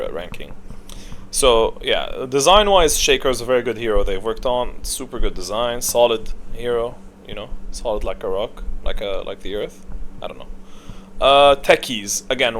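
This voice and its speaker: A man's voice: mid-range voice, expressive, moderate pace, a bit bright. Casual, blunt man over background noise. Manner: opinionated, blunt, slangy. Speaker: gaming video creator. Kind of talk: casual off-the-cuff tier list commentary.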